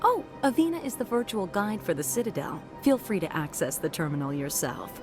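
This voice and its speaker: A courteous embassy receptionist in a video game, with a slightly low voice and lively intonation. She sounds composed, professional and helpful, with music behind her. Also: fairly quick. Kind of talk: video game dialogue acting.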